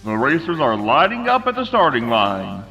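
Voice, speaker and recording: A man voicing a showy cartoon race announcer, booming and drawn-out, in dull reverberant sound. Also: wildly swooping pitch, sharp tone, faint music behind, full character voice. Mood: grand, excited. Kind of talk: announcing to a crowd. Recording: dull, long echoing PA-style reverb.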